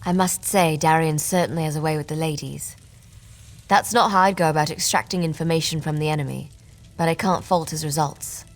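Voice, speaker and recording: Wry, low rogue companion. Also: female voice, fairly even intonation, brisk, a little hiss or hum. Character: sly female rogue companion, teasing and knowing.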